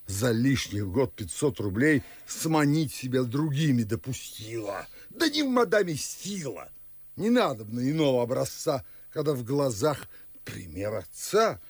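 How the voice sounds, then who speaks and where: A gravelly, husky old household patriarch in a verse radio drama. He is pompous and fretful, scolding in slow, blustering lines that swing widely in pitch. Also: dry recording, heavily acted. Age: older. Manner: blustering, theatrical. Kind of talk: classic verse radio play acting.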